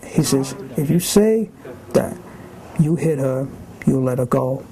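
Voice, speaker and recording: A man's voice: mid-range voice, expressive, takes it slowly, dark tone. A man in a casual interview, plain-spoken and repetitive. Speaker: interviewee, convicted prisoner.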